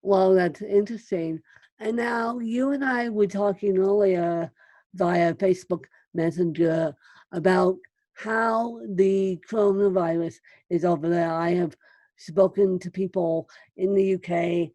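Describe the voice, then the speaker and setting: A woman hosting an interview podcast, speaking deliberately as she puts questions to a guest. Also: fairly low pitch, steady tone, mellow, no echo. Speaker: podcast host.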